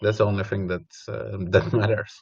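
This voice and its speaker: A man with a slightly higher-than-average voice gives conversational chess analysis as a guest commentator. He thinks aloud hesitantly, and his audio is a little dull and lo-fi. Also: little pitch movement, a little fast, gravelly, a little mellow, slightly rough. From Sweden, originally Colombia.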